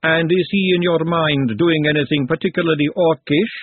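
A man voicing a poised, haughty, exacting upper-class woman in an audiobook, with formal, measured, precise phrasing. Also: on the high side, steady tone, husky, no room sound, pitched above the performer's own voice. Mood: composed, mildly condescending. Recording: narrow phone-like sound, very dry.

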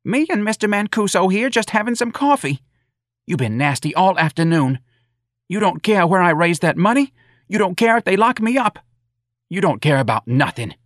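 A man's voice: a little high, lively intonation, normal speed, fairly bright tone, no echo, studio quality, voice pushed up. A man voicing an aggrieved older working-class mother in an audiobook, with plaintive, folksy, ungrammatical talk. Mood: angry, wounded.

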